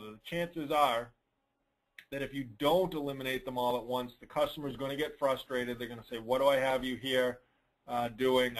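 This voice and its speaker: A man's voice: mid-range voice, low-quality recording. Plain-spoken man giving practical advice. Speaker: lawn care business coach hosting a dealer call. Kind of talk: informal question-and-answer coaching talk.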